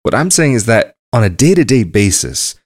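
A man's voice: medium pitch, big pitch swings, moderate pace, breathy edge, no echo, studio quality. A young adult man hosting a travel podcast, talking alone in a rambling, conversational way and thinking aloud as he goes. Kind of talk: casual solo monologue.

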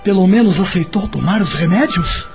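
An actor playing a gentle, concerned middle-aged father in a radio drama, earnest and soothing. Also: mid-range voice, normal speed, over music, lightly acted. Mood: wistful concern.

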